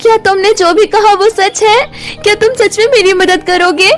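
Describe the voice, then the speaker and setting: Casting: woman playing small, earnest schoolgirl for animated fairytale, very high sweet voice, music underneath. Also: moderate pace. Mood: hopeful. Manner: earnest, wide-eyed, pleading. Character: timid, sweet young schoolgirl, wide-eyed and earnest.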